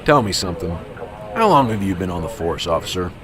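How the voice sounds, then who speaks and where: A man's voice: low-pitched, big pitch swings, brisk, over music. A man playing a cynical, weary veteran police officer in an amateur radio drama, grumbling, sarcastic and dismissive. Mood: cynical, pointed. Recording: clearly noisy, vehicle-like background ambience.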